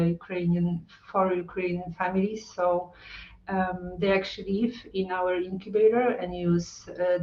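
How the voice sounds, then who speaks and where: A woman on a video call, speaking as a with many ums and uhs. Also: on the low side. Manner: halting, with frequent ums and uhs. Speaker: hub representative on a video call. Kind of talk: hesitant online talk with many fillers. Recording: roomy, distant, muffled call audio.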